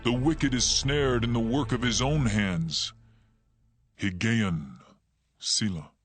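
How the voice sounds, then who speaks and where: Man, medium-pitched voice, formal declamatory scripture narration, dramatized psalm reading, clear music behind. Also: takes it slowly.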